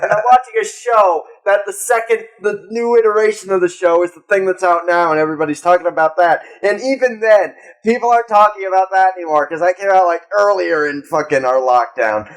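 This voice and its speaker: A man's voice: high-pitched, brisk, hardly any pauses, rough recording. A young man co-hosting a podcast, chatting casually in a laid-back, rambling way with lots of swearing. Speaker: podcast co-host. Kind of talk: casual chat.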